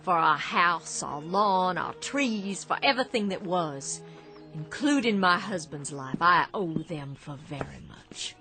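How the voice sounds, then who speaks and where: A grieving widow in a radio play, low-pitched, soft and hushed. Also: female voice, lively intonation, unhurried, music in the background. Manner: quiet, grieving, turns hushed and vengeful. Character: lonely, bitter war widow on a ruined farm. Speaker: actress in a radio drama. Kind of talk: radio drama acting.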